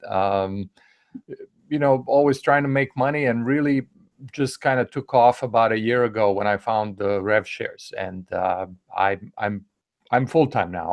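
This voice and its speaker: A man's voice: fairly low pitch, no room sound. A man from Serbia giving an easygoing self-introduction on a webinar, with light humor. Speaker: webinar guest.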